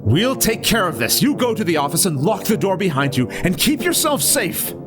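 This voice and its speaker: An actor playing a commanding but alarmed doctor in a horror audio drama, urgent and exclamatory. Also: male voice, high-pitched, sing-song, moderate pace, over music.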